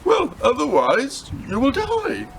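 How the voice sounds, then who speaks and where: A man's voice: very high-pitched, huge pitch swings, takes it slowly, vocal fry, noisy background, voice pushed up, heavily acted. A man voicing an earnest, anxious young boy in a storybook, urgent and pleading.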